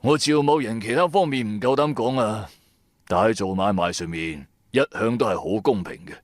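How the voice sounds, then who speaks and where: An actor with a Cantonese accent playing a shrewd, self-assured old antiques dealer in a radio drama, speaking in a measured, grandiose way. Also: male voice, lowish voice, dry recording, clean studio sound, lightly acted. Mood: smooth, bargaining.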